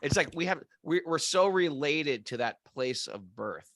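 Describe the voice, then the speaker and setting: A man co-hosting a relaxed spiritual discussion, thinking aloud in a musing way with frequent restarts. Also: on the high side, unhurried, no echo. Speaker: podcast co-host. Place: home area in New England.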